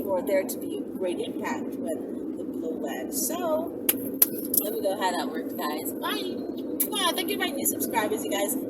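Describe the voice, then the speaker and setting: A woman vlogger with a high voice that swoops up and down as she explains things informally. She checks in with the listener often. She is recorded a little way from the mic inside a car, with loud road noise all around her. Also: recorded from a distance. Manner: animated, checks understanding often. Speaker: vlog host giving instructions. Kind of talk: informal instructional chat to camera. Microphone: camera at arm's length or on dashboard.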